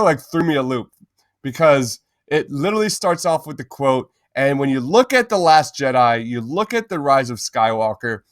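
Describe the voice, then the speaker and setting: A man's voice: medium pitch, a bit bright, dry recording, clean studio sound. A man hosting a casual pop-culture podcast, talking informally and enthusiastically with plenty of slang. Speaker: podcast host.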